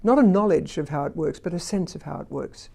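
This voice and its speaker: Woman, language teacher, mellow tone, emphatic, fond of rhetorical questions. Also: deep voice, lively intonation, fairly quick, gravelly, husky. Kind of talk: conversational discussion.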